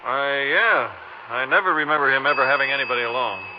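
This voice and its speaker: An actor playing a folksy, hesitant small-town gas station owner who talks in a halting, obliging way in 1950s radio drama style. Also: male voice, medium pitch, close to an everyday voice. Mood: obliging, curious. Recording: muffled, noisy old 1950s radio recording.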